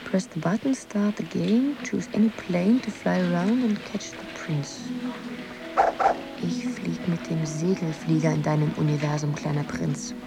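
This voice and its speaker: A woman's voice: lowish voice, fairly even intonation, unhurried, vocal fry. Young woman, dreamy and searching, poetic radio-play delivery, music and noise behind. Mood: playful. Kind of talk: radio drama monologue. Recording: loud music or noisy ambience behind.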